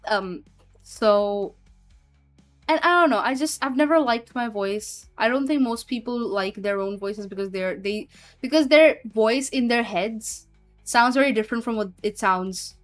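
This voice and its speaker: A woman's voice: a little high, unhurried, soft background music. A woman streamer chatting casually with her viewers and reading chat aloud, giggling often and trailing off with fillers. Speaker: streamer and hobby voice actor.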